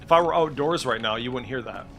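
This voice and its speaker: A man's voice: medium pitch, quick pace, over music. A man giving casual, informal screen-share commentary as a game streamer, swearing freely. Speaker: streamer demonstrating game add-ons.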